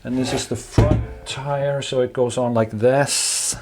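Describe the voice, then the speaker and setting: A man's voice: medium pitch, steady tone, noisy background. A man with a Danish accent, talking casually in English while he replaces bike tires, with lots of fillers and restarts. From Denmark. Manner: halting, many fillers and restarts, chatty asides. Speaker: DIY maker vlogger.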